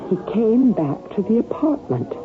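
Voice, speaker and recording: An actress playing an anxious, affectionate wife with a secret in a radio drama, speaking dramatically and often repeating herself. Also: female voice, fairly high pitch, big pitch swings, moderate pace, music in the background. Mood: anxious, pleading. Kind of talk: old-time radio drama acting. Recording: narrow-band, noisy old radio broadcast.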